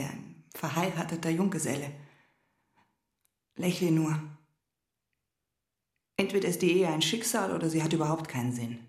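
Low-voiced wounded, proud wife, played by an actress. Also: female voice, a little mellow, roomy sound, natural voice. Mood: reproachful. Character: wounded, proud wife confronting her husband and lover. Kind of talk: German radio drama dialogue.

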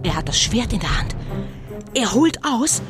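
A woman playing an excitable eyewitness narrator in a children's spooky audio drama, telling it as suspenseful live commentary. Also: a little high, measured pace, music in the background. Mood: alarmed, excited. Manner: suspenseful, shifting from whispers to cries. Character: excitable, fearful female eyewitness narrator of a ghost battle.

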